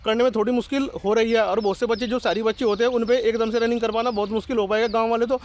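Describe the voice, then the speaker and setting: A man with a high voice speaks quickly and in a fairly flat line. He addresses viewers informally as a study channel host, pressing his advice insistently and repeating it. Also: a little hiss or hum. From rural India. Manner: insistent, repetitive urging.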